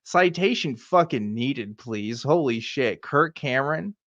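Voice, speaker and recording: A middle-aged American man with a mid-pitched voice hosts a casual commentary show. He reads aloud and riffs with sardonic, profane remarks. The recording is very dry and a little dull. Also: expressive, a little slow, a bit bright, no echo. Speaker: podcast host.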